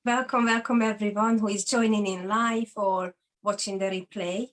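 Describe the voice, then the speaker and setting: A woman's voice: medium pitch, fairly even intonation, moderate pace. A woman in her forties from Hungary with a Hungarian accent, a guest sharing her own story in an interview in an earnest, open way. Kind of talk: personal testimony in an interview.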